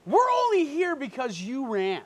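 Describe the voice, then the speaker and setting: A man's voice: extremely high pitch, huge pitch swings, moderate pace, exaggerated voice. A man acting a cocky, teasing twin brother who is flippant and unfazed by jail in an amateur drama reading.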